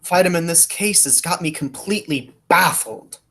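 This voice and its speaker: A man's voice: fairly high pitch, normal speed, gravelly, bright, forceful tone, exaggerated voice. A man playing an earnest, puzzled detective hero in the style of a 1940s radio detective play. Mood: distracted.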